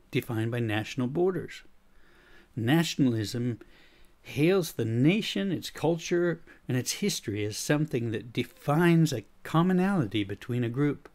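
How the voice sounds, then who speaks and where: Middle-aged American man, slight Swedish accent, coaching talk, even mid voice. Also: medium pitch. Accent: American with a slight Swedish tinge. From United States. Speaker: leadership coach. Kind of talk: scripted talk on change.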